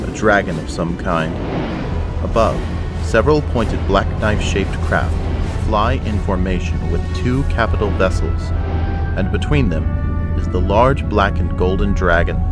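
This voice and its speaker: A man's voice: low-pitched, lightly acted. A man narrating an audio drama in a scripted, dramatic storytelling style.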